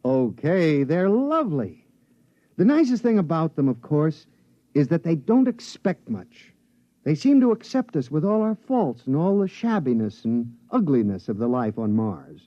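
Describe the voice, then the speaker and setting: An actor playing a polite, measured male mining boss in a 1950s radio play. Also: fairly high pitch, very animated, dry recording. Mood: genial. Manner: courteous, measured. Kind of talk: 1950s radio drama acting. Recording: dry, dull vintage radio.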